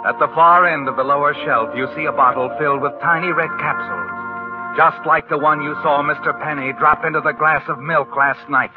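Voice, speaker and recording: A man's voice: fairly high pitch, fairly even intonation, fairly quick, close to an everyday voice. A man playing the ominous narrator of a 1940s radio thriller, telling the tale in an insinuating, suspenseful way with pointed questions. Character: ominous male narrator addressing the schemer as you. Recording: tinny old radio broadcast over music.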